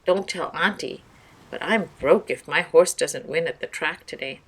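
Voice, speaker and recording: A woman's voice: sits low, moderate pace, fairly bright tone, breathy edge, poor audio. A woman voicing an idle, money-minded man in a storybook, with an offhand, dismissive manner.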